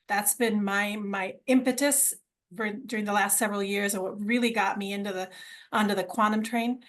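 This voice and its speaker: A woman's voice: mid-range voice, fairly bright tone. A middle-aged woman originally from Argentina, telling her story as a podcast guest in an enthusiastic, conversational way, often repeating words as she restarts.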